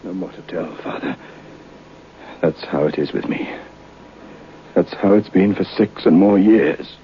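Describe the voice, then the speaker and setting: An actor playing a despairing nobleman who sold his soul, anguished, halting and pleading in an old radio drama. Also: sits low. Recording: muffled, hissy old radio broadcast.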